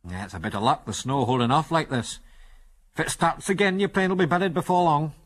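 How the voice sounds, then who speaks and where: A man's voice: fairly high pitch, brisk, a bit bright, pitched above the performer's own voice. A man voicing an old, plain-spoken Scottish prospector in an audio adventure story. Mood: matter-of-fact. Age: older. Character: old gruff Scots prospector, homely, plain-spoken, hospitable.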